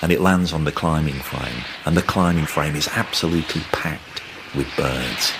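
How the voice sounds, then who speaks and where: A man's voice: rumbling low, moderate pace, background noise. A man speaking as a film commentator, recalling and describing in a documentary interview.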